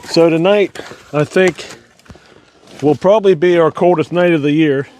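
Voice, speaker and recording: A man's voice: fairly high pitch, moderate pace, background noise. A man who is losing his voice, chatting casually about his farm and woodstove, laughing between remarks. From Ohio. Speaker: homestead vlogger. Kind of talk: casual vlog walkthrough. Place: farm outdoors and basement.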